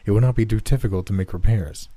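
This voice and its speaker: Small calm alien creature, formal, even, soft and dark, voiced by a man. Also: fairly low pitch, fast talker, no echo, close to an everyday voice.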